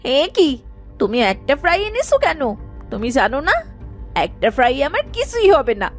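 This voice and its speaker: A woman's voice: high-pitched, big pitch swings, moderate pace, faint music behind. A woman voicing a gluttonous, whiny young wife in a Bengali cartoon, full of exclamations. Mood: indignant.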